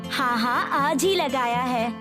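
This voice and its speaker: A woman's voice: high-pitched, slow pace. A woman playing a supportive, practical wife of a street food vendor in a cartoon story. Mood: cheerful, inviting. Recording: music behind the voice.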